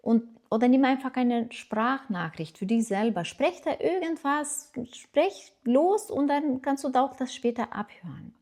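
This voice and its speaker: A woman's voice: sits high, a little slow, few pauses, darkish tone. A middle-aged woman from Lithuania with a Lithuanian accent, speaking German as a second language, talking casually and encouragingly to camera as a language teacher, with hesitations and fillers.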